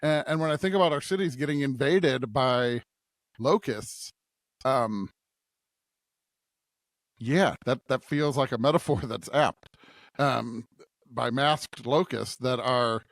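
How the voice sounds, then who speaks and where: A middle-aged man co-hosting a podcast, talking through scripture in a halting, reflective, conversational way and often checking for agreement. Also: mid-range voice, no echo, studio quality. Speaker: podcast co-host.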